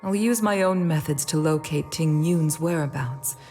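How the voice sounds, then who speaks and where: A dignified, middle-aged female leader from a video game, acted by a woman, with a low, grave voice. She speaks formally and in measured phrases, carrying her grief with restraint. Game music plays clearly underneath. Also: mellow, natural voice. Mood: grieving, resolute. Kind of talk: scripted video game voice acting.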